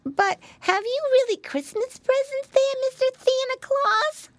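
A woman voicing an excitable, eager little boy piglet in a children's storybook. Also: very high-pitched, unhurried, no echo, voice pushed up. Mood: curious. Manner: exclaiming, eager.

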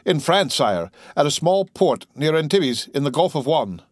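A man's voice: fairly high pitch, sing-song, normal speed, no echo, studio quality, voice pushed up. A man voicing a frightened police minister who pleads and stammers before his king. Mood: pleading.

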